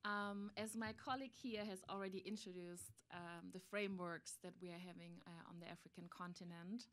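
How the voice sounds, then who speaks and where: A woman's voice: fairly low pitch, steady tone, fairly quick, fairly bright tone. A young woman from Germany with a German accent, speaking as a researcher on a conference panel, thoughtful with fillers, into a table microphone. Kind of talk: panel talk.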